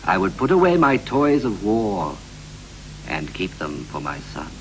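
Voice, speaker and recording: An actor playing a wistful married man reflecting on his life in a poetic 1960s radio play, with a measured, lyrical delivery. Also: deep voice, sing-song, rough recording. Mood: wistful, reflective. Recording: hissy, humming 1960s radio recording.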